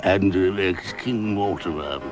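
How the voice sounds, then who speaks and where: Deep, ponderous radio announcer. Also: male voice, a little slow. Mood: solemn. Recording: loud music behind voice.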